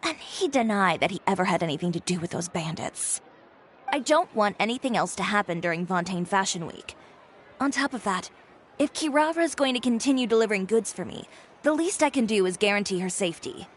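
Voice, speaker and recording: An actress playing a composed, proud dressmaker who talks calmly with dry, cutting sarcasm. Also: female voice, medium pitch, a little fast, slight background noise, natural voice.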